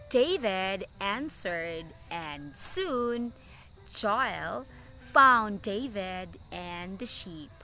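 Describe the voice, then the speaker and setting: A woman reads a children's story aloud as a teacher would. Her delivery is slow and deliberate, with very expressive, wide-swinging pitch. Music plays behind her.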